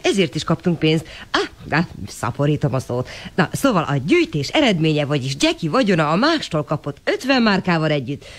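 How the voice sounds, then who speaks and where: A man's voice: on the high side, huge pitch swings. An actor playing a keen, sensible boy detective, an eager organizer, in a radio drama. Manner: eager, announcing. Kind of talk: 1980 radio drama acting.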